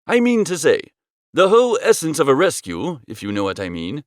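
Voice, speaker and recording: A man narrating a comic first-person literary story as an audiobook, in a droll, expressive storytelling manner. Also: on the high side, brisk, no room sound, clean studio sound.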